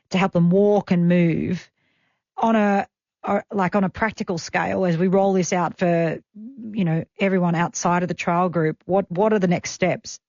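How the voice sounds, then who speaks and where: A woman's voice: lowish voice, a little mellow, no echo. Chatty Australian woman hosting radio. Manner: chatty, enthusiastic. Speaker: radio host. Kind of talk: live radio interview. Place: radio studio. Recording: slightly dull radio broadcast audio.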